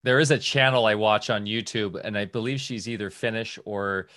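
A man's voice: on the low side, steady tone, normal speed, bright, forceful tone, dry recording, clean studio sound. A man hosting a casual interview who talks in an enthusiastic, rambling way. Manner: rambling and enthusiastic, with many restarts. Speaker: podcast host.

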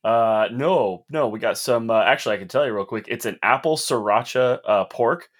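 A man's voice: medium pitch, moderate pace. Chatty rambling man co-hosting. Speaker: podcast co-host. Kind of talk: casual podcast chat.

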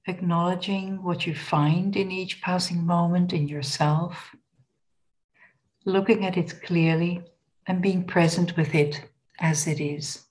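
A woman leading a guided body scan meditation in a gentle, unhurried, instructional manner. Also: fairly low pitch, steady tone, low-quality recording.

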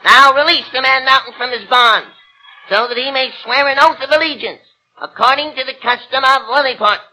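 A man's voice: extremely high pitch, a little fast, sharp tone, exaggerated voice, rough recording. A pompous tiny king. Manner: pompous, fussy, easily flustered. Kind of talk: 1940s radio acting. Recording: old radio broadcast with music.

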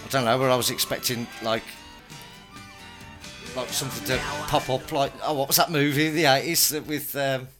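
A man's voice: mid-range voice, fairly even intonation, moderate pace. A man hosting a casual album-review podcast, chatty and jokey, rambling a bit between thoughts. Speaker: podcast host. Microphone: home podcast mic. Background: rock music playing.

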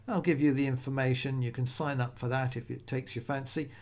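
A man's voice: medium pitch, steady tone, fairly quick, darkish tone. Male solo podcast host, middle-aged, casual, rambling delivery, mid-range voice. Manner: conversational, rambling, self-correcting restarts.

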